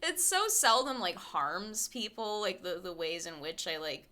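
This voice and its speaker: Bright-voiced woman in profane chat. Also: animated, unhurried. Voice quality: small light voice without much bass. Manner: candid, profane, rambling, laughs often. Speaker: streamer. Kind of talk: casual chat with stream viewers.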